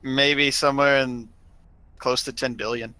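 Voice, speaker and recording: A man's voice: medium pitch, flat delivery, a little slow, sharp tone, clear, resonant tone, no room sound. A man telling a story in a casual group chat as one of a gaming crew.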